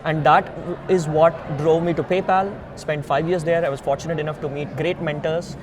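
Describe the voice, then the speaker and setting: A man's voice: steady tone, normal speed, crowd noise. A man from India with an Indian accent, a founder being interviewed, explaining emphatically step by step in earnest. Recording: noisy outdoor ambience with traffic rumble.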